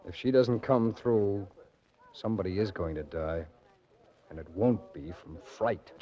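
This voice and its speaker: An actor playing a menacing, coldly sarcastic armed criminal with clipped, formal threats, in 1940s radio drama style. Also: male voice, lowish voice, a little slow, warm tone, lightly acted. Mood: cold threatening. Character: menacing, coldly sarcastic gun-toting crime dealer. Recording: muffled vintage radio recording with music.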